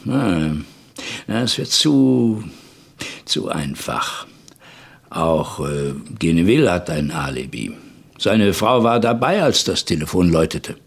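An actor playing a shrewd police commissioner in a German radio crime play, deliberate and musing, then pointed in his questions. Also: low-pitched, big pitch swings, gravelly, husky, heavily acted. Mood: sceptical. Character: shrewd male French police commissioner, boss of the investigation.

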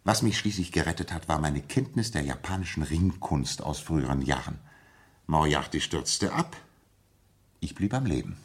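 An actor playing a cool, witty, self-assured master detective in a radio drama, urbane and dryly nonchalant. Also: male voice, a bass voice, animated, moderate pace, slightly rough, full character voice.

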